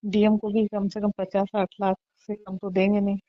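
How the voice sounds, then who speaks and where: A woman's voice: medium pitch, little pitch movement, normal speed, no room sound. A woman, talking casually and emphatically on the phone, often repeating her phrases. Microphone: phone call. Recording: muffled recorded phone call.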